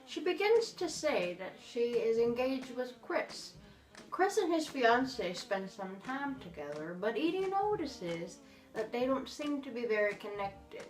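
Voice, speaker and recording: A woman's voice: on the high side, very animated, measured pace. Woman playing a bickering grandma storyteller, echoey and distant. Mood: storytelling. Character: Grandma Maria, bickering elderly woman storyteller, fondly exasperated. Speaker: story show host. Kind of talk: amateur comic skit, storytelling. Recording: echoey room, far from mic, music behind.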